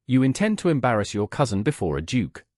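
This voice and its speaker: A man voicing a controlling older Regency gentleman in an audiobook, formal, condescending and commanding. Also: medium pitch, sing-song, fast talker, dry recording, studio quality. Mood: accusing.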